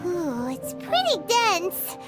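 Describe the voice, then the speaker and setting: A woman voicing a tiny, chirpy and fussy childlike fairy companion in an anime-style video game, with a squeaky, exclaiming delivery. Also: wildly swooping pitch, slow pace, exaggerated voice. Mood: thoughtful, fretful. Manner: exclaiming, refers to herself in third person. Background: music.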